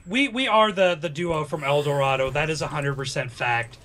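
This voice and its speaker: A man co-hosting a game stream, trading quick wisecracks in casual banter. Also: fairly high pitch, bright, forceful tone. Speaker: stream co-host.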